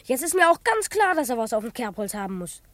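A woman's voice: a little high, very animated, fast talker, a bit bright. A woman playing an eager, self-important twelve-year-old boy detective in a children's radio play, earnest and easily indignant. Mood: earnest, determined. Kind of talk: children's radio play acting and first-person narration.

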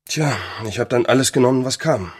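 An actor playing a worn-out, desperate young salesman in a German radio play, weary, halting and deferential. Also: medium pitch, natural voice. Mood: resigned.

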